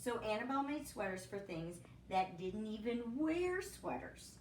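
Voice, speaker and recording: Lady reading a kids book out loud, real expressive and singsongy, chatty asides, bit far from the mic in an echoey room. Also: medium pitch, normal speed, slight background noise. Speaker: storybook reader, teacher. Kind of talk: read-aloud children's story narration.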